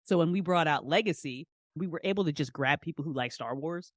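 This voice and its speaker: A woman's voice: deep voice, a little fast, no echo, studio quality. A woman chatting casually as an interview guest, effusive and emphatic, with slangy, repetitive turns of phrase. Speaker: guest, fiction podcast writer and creator. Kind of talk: animated casual interview chat.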